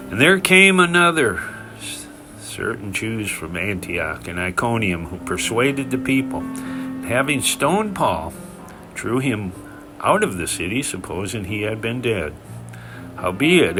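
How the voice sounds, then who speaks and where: A man reading scripture aloud in a solemn narrated style, with deliberate, emphatic phrasing and pauses. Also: medium pitch, fairly bright tone. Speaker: scripture reader. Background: background music.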